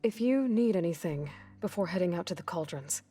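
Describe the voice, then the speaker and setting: An actress playing a practical, quietly determined young woman warrior in a video game, speaking briefly and plainly. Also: fairly low pitch, lively intonation, fairly quick, breathy edge, faint music behind. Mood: calm, inquiring. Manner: plain-spoken, brief, probing. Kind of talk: video game cutscene dialogue.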